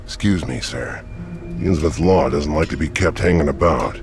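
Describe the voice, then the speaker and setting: A gruff small-town innkeeper from a video game, with an extremely deep voice. It crackles with gravel as he talks in a wary, rustic dialect. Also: over music. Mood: civil but ominous. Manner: slow rustic dialect, guarded. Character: gruff, wary small-town hotel keeper, grown man.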